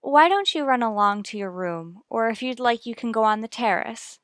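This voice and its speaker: A woman playing a worried, firm mother with a measured, formal manner in a radio soap opera. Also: on the high side, animated, fairly bright tone, no room sound, clean studio sound. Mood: composed.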